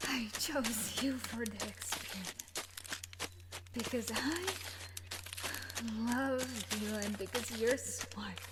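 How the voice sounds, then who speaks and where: Woman acting an obsessive scientist, slow and menacing. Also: on the high side, very noisy. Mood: threatening, pleading. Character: obsessive possessive young woman scientist, menacing yet pleading. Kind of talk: audio roleplay acting addressed to the listener.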